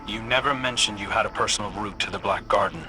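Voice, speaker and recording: An actor playing a wry, guarded fallen prince in a video game, dry and sardonic. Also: male voice, fairly low pitch, quick pace, music in the background, close to an everyday voice, rough recording. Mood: wary.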